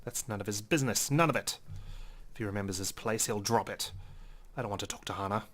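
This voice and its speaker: A man's voice: lowish voice, fast, a bit bright. A man reading visual novel text aloud as a let's player, with occasional brief commentary.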